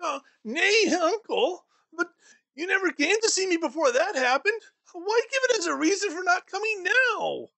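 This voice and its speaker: An earnest, kind young nephew, voiced by a man reading a storybook who pleads warmly and with emphasis. The voice sits high and sharp, with a gravelly edge and a lively lilt. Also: normal speed, heavily acted. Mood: pleading. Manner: warm-hearted, pleading and emphatic.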